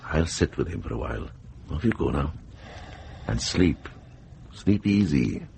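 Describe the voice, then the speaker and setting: An older monk and healer with a low-pitched voice. He speaks in a measured, earnest way with dry authority, as in a radio mystery. A slight noise sits behind him. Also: sing-song, vocal fry, a little mellow, exaggerated voice. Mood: calm, caring. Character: calm, wise, plain-spoken older monk and herbalist. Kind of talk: radio drama acting.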